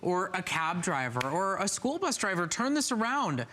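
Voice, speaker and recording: Chatty woman pitching on a shopping channel. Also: sing-song, normal speed, a bit bright, a little hiss or hum. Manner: chatty, persuasive, rambling. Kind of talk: live sales pitch, conversational.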